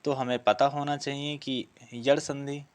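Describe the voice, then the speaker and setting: A man's voice: mid-range voice, little pitch movement, unhurried. Man teaching an exam practice lesson. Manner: explanatory, asks questions then answers them. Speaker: Hindi grammar teacher for police exam prep. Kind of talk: exam lesson, reading and solving practice questions.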